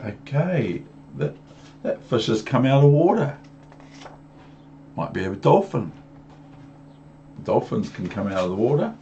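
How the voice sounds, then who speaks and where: A man's voice: mid-range voice, sing-song, unhurried, pauses often, warm tone, highs cut off, slight background noise. A man with a New Zealand accent reading a storybook aloud to young children, with chatty asides. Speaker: storybook reader.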